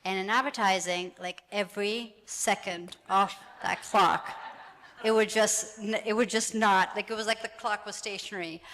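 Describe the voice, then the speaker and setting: A woman's voice: medium pitch, steady tone, normal speed, fairly bright tone. A woman panel guest and actor, talking casually and chattily, with many restarts and easy laughs. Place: event hall with audience. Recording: long hall reverb.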